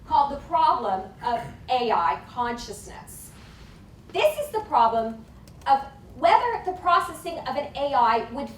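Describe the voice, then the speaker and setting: Woman lecturing in an echoey hall. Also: on the high side. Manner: emphatic, deliberate lecturing. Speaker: conference speaker. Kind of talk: academic lecture. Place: conference hall. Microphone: lapel mic. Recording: echoey hall from far off, faint noise.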